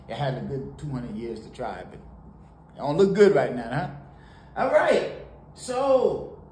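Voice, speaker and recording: A man from Haiti giving casual, animated reaction commentary on a song in a small home studio, talking to the camera. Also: fairly high pitch. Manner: animated, preachy, sprinkled with laughs and "you know". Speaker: music reaction host. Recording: roomy home studio with faint noise.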